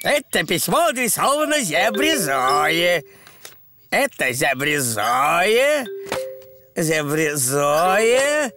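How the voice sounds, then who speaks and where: A man's voice: on the high side, very animated, very slow, bright, forceful tone, faint music behind, heavily acted. A man voicing a friendly, busy zebra postman in a dubbed preschool cartoon. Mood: cheery, busy.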